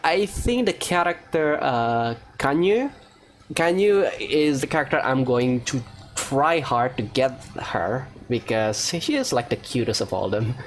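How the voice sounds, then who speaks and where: A man's voice: medium pitch, expressive, a little slow. A man hosting a let's-play, giving informal gaming commentary and thinking aloud. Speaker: gaming video host. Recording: faint background noise.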